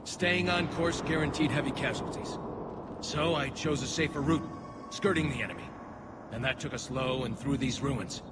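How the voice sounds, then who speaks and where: A man's voice: medium pitch, normal speed, natural voice. A man playing a burdened, dutiful young alien military officer in a sci-fi game, speaking earnestly and formally. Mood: defeated, regretful. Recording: loud vehicle and battlefield noise behind.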